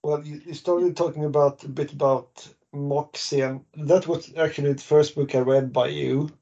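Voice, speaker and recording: A podcast host with a mellow, slightly husky voice asks an author questions in a friendly, encouraging way. The recording is muffled and lo-fi, like a remote call. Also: medium pitch, fairly even intonation, moderate pace. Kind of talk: interview questions. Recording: muffled lo-fi audio.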